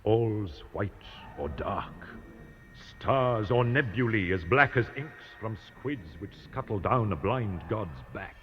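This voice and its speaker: An actor playing a blinded, obsessed spaceship captain, brooding and vengeful in a grandiose, incantatory radio-drama soliloquy. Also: male voice, fairly low pitch, expressive, slow, faint music behind. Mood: brooding, poetic. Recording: dull 1960s radio recording with hiss.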